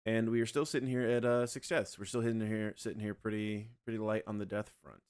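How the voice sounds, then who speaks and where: A young man streaming a game and chatting loosely with his viewers, his voice a little scratchy from yelling the night before. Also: on the low side, fast, clean tone. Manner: relaxed, rambling, talks to chat. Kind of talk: casual livestream chat.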